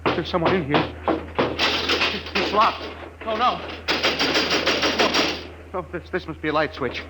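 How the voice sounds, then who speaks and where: A man's voice: on the high side, unhurried, heavy background noise, natural voice. An actor playing a panicked man in his forties, stammering and terrified, in a 1950s radio drama. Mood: frightened. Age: 40s. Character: panicked trapped middle-aged man. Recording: old archival radio broadcast.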